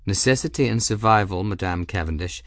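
A man voicing a composed, formal captain who speaks courteously and smoothly. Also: on the low side, brisk, a bit bright, dry recording. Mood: formal, guarded.

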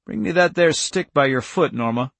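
A man's voice: a little high, expressive, moderate pace, creaky, a bit bright, no echo, voice pushed up, heavily acted. A man voicing a wounded older farmer in an audiobook story, plainspoken, stern and stubborn, with folksy country talk. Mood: instructing. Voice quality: breathless.

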